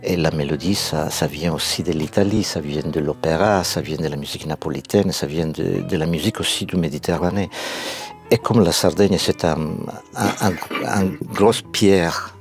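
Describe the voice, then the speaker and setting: A Sardinian man with a deep, gravelly voice, speaking French. He talks haltingly and restarts often as he searches for words. Faint music plays behind him. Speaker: guest singer. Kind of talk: radio interview answers.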